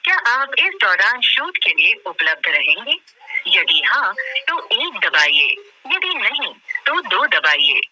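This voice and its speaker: A woman's voice: medium pitch, very animated, takes it slowly, pushed and bright, a little hiss or hum. A woman reading scripted automated phone menu prompts in a formal, carefully enunciated manner, recorded from a telephone call. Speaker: automated phone line announcer.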